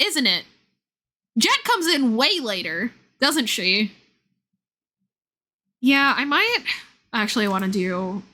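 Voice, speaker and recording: Bright-voiced woman streamer thinking aloud. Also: high-pitched. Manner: informal, meandering, thinking aloud. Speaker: game streamer. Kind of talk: casual livestream chat and game commentary. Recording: music playing behind the voice. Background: background music.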